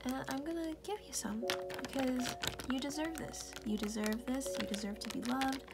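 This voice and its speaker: A woman speaking in a soft, breathy, often unvoiced whisper, tenderly reassuring the listener in an intimate ASMR roleplay. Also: sits high, normal speed, heavy background noise. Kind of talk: ASMR personal-attention roleplay, comforting affirmations. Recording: music playing behind the voice. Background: music.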